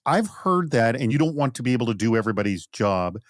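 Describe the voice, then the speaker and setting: A man's voice: medium pitch, sing-song, fast talker, dry recording, studio quality. A man hosting a podcast, interviewing guests conversationally with probing, lightly teasing questions. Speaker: podcast host.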